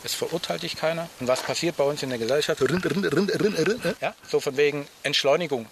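A man's voice: a little high, fast talker, noisy background. A man guiding through a forest, explaining earnestly with rhetorical questions. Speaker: nature guide. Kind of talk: explaining in conversation outdoors.

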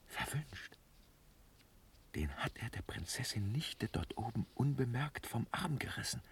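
An actor playing a practical, impatient officer, wry and mocking, in a classical verse radio drama. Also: male voice, medium pitch, expressive, in a whisper. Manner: wry, exasperated, deliberate stage delivery.

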